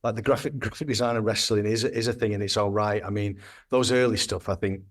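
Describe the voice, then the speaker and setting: Low-voiced man rambling with restarts. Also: steady tone, fast. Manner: rambling, hesitant, with frequent restarts. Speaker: guest, graphic designer. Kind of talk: casual podcast interview.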